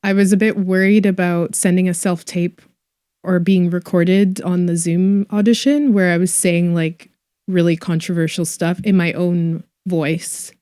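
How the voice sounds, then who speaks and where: A woman's voice: fairly low pitch, steady tone, measured pace, mellow, clean tone, no echo, studio quality. A young woman from Scotland with a mixed Scottish and Canadian accent, chatting as an actress guest, giggly and full of likes and ums. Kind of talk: casual interview chat.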